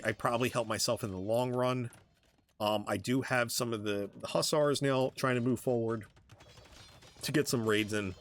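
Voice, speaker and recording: A man with a fairly low voice talks through his own strategy game match in a casual way. He speaks haltingly, thinking aloud as he explains his moves. Music plays behind his commentary. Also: fairly bright tone. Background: background music.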